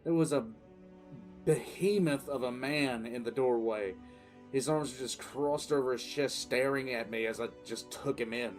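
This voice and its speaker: A man's voice: medium pitch, moderate pace, natural voice. Weary military commissar telling his story, acted by a man. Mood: weary, bemused. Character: weary, cool-headed military commissar recounting his past. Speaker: narrator and lead voice actor. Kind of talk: dramatic first-person audio drama narration. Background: faint background music.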